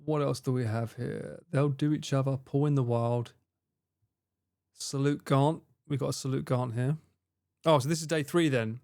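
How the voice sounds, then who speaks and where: A man's voice: medium pitch, steady tone, moderate pace, creaky, a little mellow, dry recording. Dry, close-miked man chatting. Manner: laughs easily, swears casually, chats to viewers. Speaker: streamer reviewing viewer clips. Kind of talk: casual live-stream chat.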